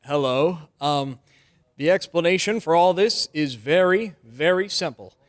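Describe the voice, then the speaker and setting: An actor playing a sheepish, well-meaning gentleman neighbor in an old-time radio drama, hesitant and apologetic. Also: a little high, unhurried, lightly acted.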